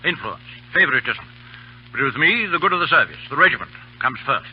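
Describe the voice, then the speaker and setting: An actor in an old radio drama playing a stern, middle-aged British colonel, aloof and clipped in manner. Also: male voice, mid-range voice, very animated, fairly quick. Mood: gruff, awkward. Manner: clipped, gruff, commanding. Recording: muffled old radio broadcast.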